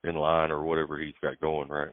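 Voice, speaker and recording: A low-voiced man telling stories in a laid-back, plain-spoken way on a phone call. The sound is dry and narrow, like a phone line. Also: monotone, fairly quick, no room sound. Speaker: truck driver. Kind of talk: casual phone chat.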